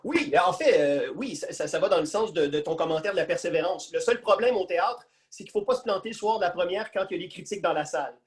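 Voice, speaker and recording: Very animated Quebec man hosting. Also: high voice, fast talker, hardly any pauses. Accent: Quebec French. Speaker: festival host and interviewer. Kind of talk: casual online hosting. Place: video call.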